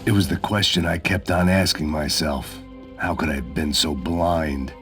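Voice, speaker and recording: An actor playing a world-weary, cynical middle-aged American ex-cop in a noir video game, with a sardonic, terse delivery. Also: male voice, extremely low pitch, fairly even intonation, moderate pace. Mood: bitter, brooding. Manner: sardonic, hard-boiled, terse. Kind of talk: noir game dialogue and narration. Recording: loud music behind the voice.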